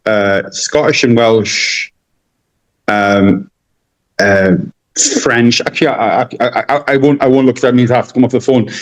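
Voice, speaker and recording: A man's voice: mid-range voice, rough recording. A man from North-East England, chatting as a podcast guest, hesitant with many fillers and restarts. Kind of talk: casual interview chat.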